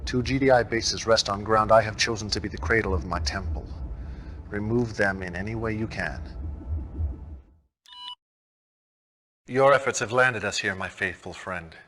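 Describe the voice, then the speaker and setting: Game casting: charismatic male cult commander, low-pitched, menacing and grandiose, with measured dramatic authority. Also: sharp tone. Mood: triumphant, quietly menacing. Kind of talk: dramatic video game cutscene briefing.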